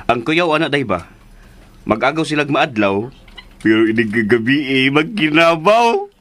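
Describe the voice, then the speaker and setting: An actor playing a teasing, gossipy, jovial older uncle who exclaims often in a radio drama. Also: male voice, medium pitch, sing-song, normal speed, fairly bright tone, soft background music. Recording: clear background noise.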